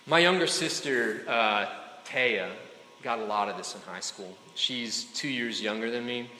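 A man preaches to a crowd in a relaxed, talky way and keeps checking in with his listeners. His voice is bright and forceful. The echoey hall rings long behind him, with faint room noise underneath. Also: medium pitch, a little slow. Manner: chatty, keeps checking in with "right?" and "okay?"; joking asides to the crowd. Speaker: speaker addressing students. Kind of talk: casual conversational sermon.

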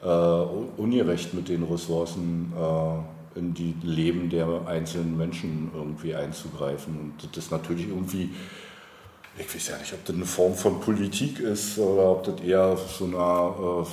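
A man's voice: low-pitched, fairly even intonation, normal speed, a little mellow, slight background noise. A man speaking as a politician being interviewed, reflective and hesitant as he searches for words. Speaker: interview guest, local politician. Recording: roomy, echoey indoor sound.

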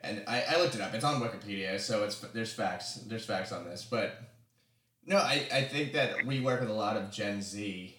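Deep-voiced man chatting in an echoey room. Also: normal speed, a bit bright. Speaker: podcast co-host. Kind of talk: casual chat. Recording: roomy, echoey sound.

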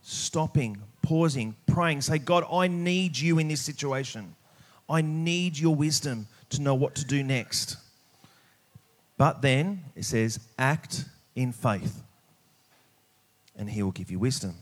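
A man preaching a sermon, speaking emphatically and pausing deliberately on key words. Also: medium pitch, expressive. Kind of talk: sermon with scripture reading.

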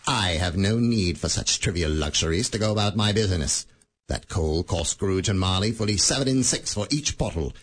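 A miserly old moneylender snaps in a husky, low voice. His pitch swings wide with scorn, and every word comes out clipped. A radio play with a cold, grumbling edge. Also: expressive, moderate pace. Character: miserly bitter old male moneylender Scrooge.

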